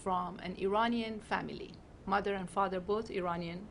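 A woman's voice: mid-range voice, steady tone, fast talker, gravelly, a little hiss or hum. A middle-aged woman from Iran with a Persian accent, hosting a conversational studio interview. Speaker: talk show host.

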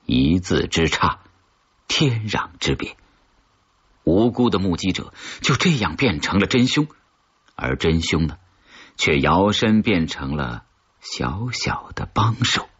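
A man narrating a suspense horror story from a script, with a husky, brooding, dramatic delivery. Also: deep voice, big pitch swings, slow pace, a bit bright. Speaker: audiobook narrator of horror fiction. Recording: dull, muffled lo-fi audio.

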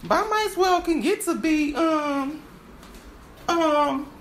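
Really high voiced lady doing a play monologue, keeps stumbling and repeating, pitch all over, bit of background noise. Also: expressive. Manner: halting, repetitive, stammering. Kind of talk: improvised monologue from a stage play.

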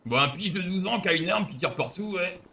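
Young male streamer, rapid bright delivery, blunt and provocative, gaming chat. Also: high voice, animated. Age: young adult. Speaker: streamer trolling in a roleplay game. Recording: faint background game noise.

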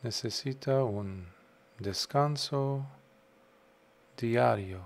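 A man guiding a meditation as a monk would, unhurried, in short phrases separated by long pauses. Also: medium pitch, creaky. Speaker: Buddhist monk, meditation guide. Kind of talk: guided meditation talk.

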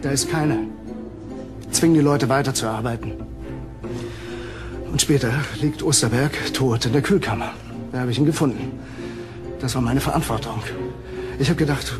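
An actor playing an evasive businessman under questioning in a crime radio play, halting and defensive. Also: mid-range voice, close to an everyday voice. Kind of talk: German crime radio drama acting. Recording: loud music behind the voice.

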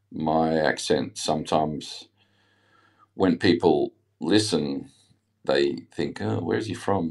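An Australian man speaks in a very deep, gravelly voice. He talks hesitantly in a casual online chat, often doubling back on his words. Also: a little mellow. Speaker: audience member. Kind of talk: casual conversation.

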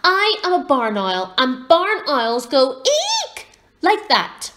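Woman voicing an earnest, high-pitched baby owl boy. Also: very animated, slow, fairly bright tone, a little hiss or hum, exaggerated voice. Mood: indignant. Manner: earnest, inquisitive, easily huffy. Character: eager, naive young male baby barn owl.

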